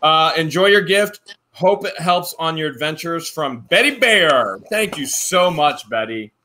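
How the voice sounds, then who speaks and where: A man co-hosting a casual vlog, opening fan mail and reading letters aloud with playful, animated jokes. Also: a little high, a little slow. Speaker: channel co-host.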